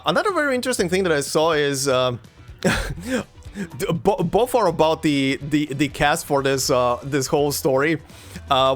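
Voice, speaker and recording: A Croatian man whose English mixes American and British with a slight German tinge, hosting a let's play and chatting casually with viewers, halting with many fillers and repeated words. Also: medium pitch. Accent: mix of American and British English, slight German tinge.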